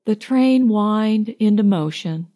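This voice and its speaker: A woman's voice: mid-range voice, animated, takes it slowly, warm tone. A woman reading a short story aloud as scripted audiobook narration.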